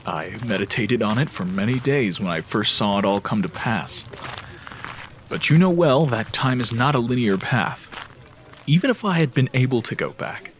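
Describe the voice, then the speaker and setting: A man acting as a wise, devout seer in a fantasy audio drama, solemn, measured and reassuring. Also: mid-range voice, expressive, slight background noise, natural voice.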